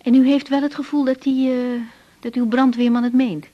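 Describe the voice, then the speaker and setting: An actress playing a polite young family doctor, calm and gently steering, in a naturalistic radio drama with slightly dull sound. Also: female voice, high-pitched, moderate pace, lightly acted. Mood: attentive.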